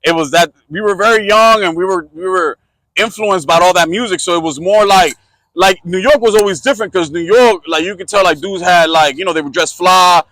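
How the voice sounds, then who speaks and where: A middle-aged man from Puerto Rico with a Puerto Rican accent, talking loosely and at length on a casual podcast. His voice sits a little high and comes across bright and forceful. He strings stories together with lots of "you know what I mean" and plays out quotes from friends. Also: brisk, dry recording, low-quality recording. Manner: rambling, full of fillers, acts out quotes in voices. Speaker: podcast co-host. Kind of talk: casual storytelling chat.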